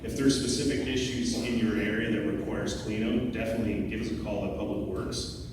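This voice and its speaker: A man, a town official, answering a resident's question at a public meeting in a measured, explanatory way with frequent restarts. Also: on the low side, fairly even intonation. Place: council chamber. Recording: distant, echoey hall recording with noise.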